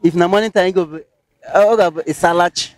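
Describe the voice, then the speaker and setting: A man's voice: high-pitched, fast. Hesitant Nigerian man with a pidgin accent. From Rivers State, Nigeria. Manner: hesitant, many fillers and restarts. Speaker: interviewee. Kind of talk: casual street interview.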